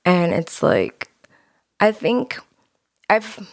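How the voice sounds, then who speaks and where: A young American woman talking casually as a podcast guest, her voice sometimes dropping to soft asides. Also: medium pitch, expressive, very slow, vocal fry, dry recording, studio quality. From United States. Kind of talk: casual interview conversation.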